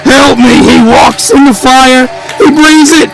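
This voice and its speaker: A man's voice: fairly even intonation, slow pace, gravelly, full character voice. A man voicing a possessed dying sheep that screams and rants frantically like a tormented human in a horror story. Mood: frantic terror. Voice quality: screaming, shrill. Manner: frantic prophetic ranting. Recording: distant mic with music behind.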